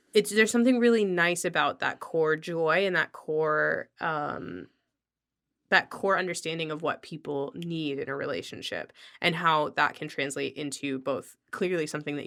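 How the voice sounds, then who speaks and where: Low-voiced woman chatting casually. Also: moderate pace, a bit bright. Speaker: podcast host. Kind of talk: casual conversational chat.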